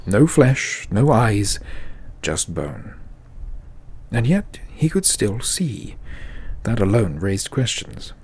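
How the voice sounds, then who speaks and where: A man's voice: on the low side, big pitch swings, takes it slowly, darkish tone, breathy edge. A man narrating a scripted fantasy audio drama as the storyteller.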